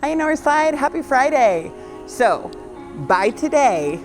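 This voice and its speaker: A woman's voice: high-pitched, sing-song, slow pace, soft background music, poor audio. A woman who is the school librarian, giving friendly but firm rules to students in a school announcement video.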